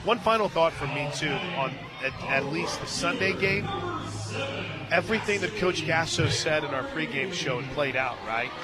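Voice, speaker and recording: A man calling softball live on radio as play-by-play announcer, mixing clipped pitch calls with conversational asides. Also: moderate pace, over loud music. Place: radio booth at an outdoor softball stadium. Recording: live stadium booth, clear crowd noise. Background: stadium crowd.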